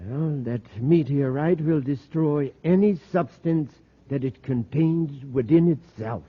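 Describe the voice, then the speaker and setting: High, husky excitable radio scientist. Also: male voice, slow. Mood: intense, explanatory. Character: earnest, excitable middle-aged male scientist professor. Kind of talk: 1950s radio drama acting. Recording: muffled tinny vintage radio recording.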